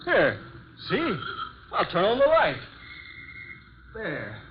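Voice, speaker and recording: Folksy cabbie, higher male voice, animated, puzzled working-class talk, muffled vintage radio drama. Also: takes it slowly, full character voice. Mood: puzzled, reassuring. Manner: folksy, colloquial. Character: plain-spoken working-class male cab driver, puzzled, reassuring. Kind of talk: 1940s radio drama acting. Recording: muffled old radio recording, faint noise.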